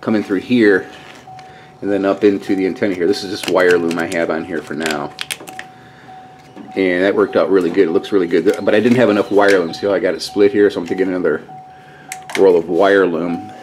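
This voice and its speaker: Low-voiced folksy man, noisy recording. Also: fairly even intonation, brisk. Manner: folksy, colloquial. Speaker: DIY vlogger. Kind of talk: casual hands-on walkthrough narration. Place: in and around a pickup truck at a garage. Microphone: handheld camera mic. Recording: noisy handheld camcorder audio.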